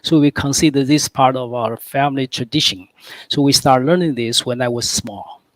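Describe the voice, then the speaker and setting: A man's voice: medium pitch, moderate pace, no echo, poor audio. Hesitant Taiwanese-born man, dry sound. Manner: hesitant, many fillers and restarts. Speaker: guest calligrapher. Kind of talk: conversational explanation.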